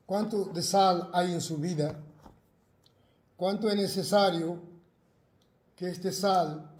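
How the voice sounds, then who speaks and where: A man's voice: sits high, darkish tone, roomy sound. A man from Argentina, a pastor, preaching and praying earnestly into a self-recorded video, repeating his phrases fervently. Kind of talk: recorded sermon and prayer.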